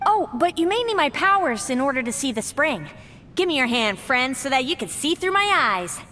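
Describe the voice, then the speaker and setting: A cheerful talking flower that loves to sing, with a high, bright voice. Her delivery is theatrical and sing-song in a video game, set in a long reverb with a faint rumble. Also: sing-song, moderate pace, heavily acted.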